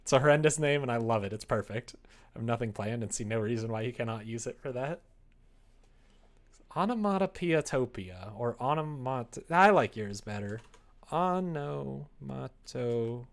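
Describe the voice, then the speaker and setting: Rambling male streamer thinking out loud. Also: mid-range voice, expressive, moderate pace, creaky. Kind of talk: casual chat on a live creative-writing stream.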